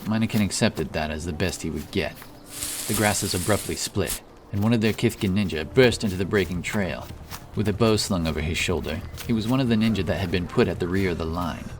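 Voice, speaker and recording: Very deep-voiced man narrating fantasy. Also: fairly quick, a little hiss or hum. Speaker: audiobook narrator. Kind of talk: dramatic fantasy story narration.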